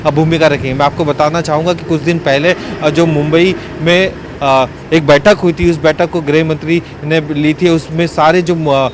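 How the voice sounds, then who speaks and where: A man giving a live, unscripted news report from location, in a halting way with self-corrections. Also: on the high side, steady tone. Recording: faint outdoor street and traffic noise.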